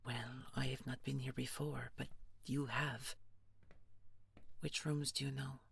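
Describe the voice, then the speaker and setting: A woman playing a grave, protective nightmare being in an audio horror drama, speaking in a husky voice with formal, guarded earnestness. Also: rumbling low, flat delivery, a little slow, slight background noise, heavily acted. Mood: hushed, wary. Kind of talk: audio horror roleplay acting.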